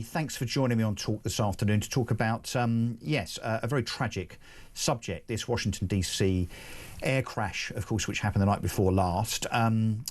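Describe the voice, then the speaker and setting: A man hosting a live broadcast news interview, putting pressing questions and interjections to a guest. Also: medium pitch.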